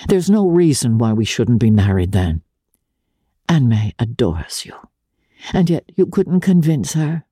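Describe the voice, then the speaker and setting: Woman doing a nervous earnest young gent from a classic novel, formal but urgent, dark husky voice. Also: very deep voice, sing-song, a little slow, no room sound, clean studio sound, full character voice. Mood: desperate. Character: earnest, anxious young society gentleman.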